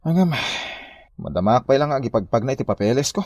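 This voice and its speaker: A man's voice: medium pitch, lively intonation, quick pace, warm tone, dry recording, studio quality, natural voice. An actor playing a flustered, aggrieved village man in Ilocano comedy drama, with an Ilocano accent. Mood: exasperated.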